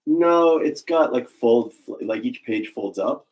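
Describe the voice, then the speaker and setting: A man's voice: mid-range voice, slow pace, low-quality recording. A man chatting casually at home with his partner, asking questions and joking around. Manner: lively, jokey, questioning. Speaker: host's partner. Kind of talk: casual chat.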